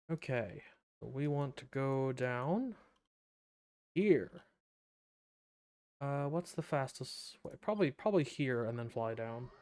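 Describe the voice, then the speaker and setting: Slow, laid-back male streamer. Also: mid-range voice, darkish tone, smooth. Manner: laid-back, thinking aloud, reading game text. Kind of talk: casual live-stream commentary.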